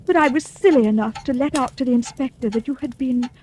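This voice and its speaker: A woman's voice: high-pitched, fast, a little mellow, lightly acted. An actress playing a scheming young widow who poisoned her husband, with 1940s radio acting. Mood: coaxing. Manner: coaxing, then scornful. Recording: faint hiss, old radio broadcast.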